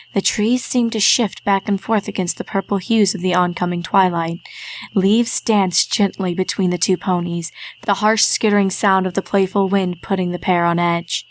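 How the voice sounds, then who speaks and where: A woman reading a fan story aloud in a steady, measured narration. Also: medium pitch. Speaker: reader.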